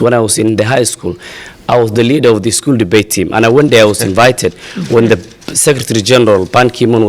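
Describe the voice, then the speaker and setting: A young man from Somalia, a refugee advocate, gives a halting, earnest statement at a press conference, full of pauses and fillers. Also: lowish voice. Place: outdoor press event.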